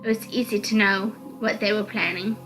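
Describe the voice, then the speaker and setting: A woman's voice: medium pitch, steady tone, moderate pace, poor audio. A woman reading a visual novel aloud as narrator, in dramatic scripted narration. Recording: music under the voice.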